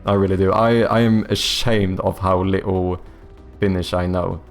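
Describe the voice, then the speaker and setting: A man's voice: deep voice, fairly even intonation, normal speed, faint music behind. A young man with a Swedish accent chatting loosely on a game development stream, swearing often and filling gaps with ums and likes. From Sweden. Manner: loose and profane, full of fillers and restarts. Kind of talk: casual live-stream chat.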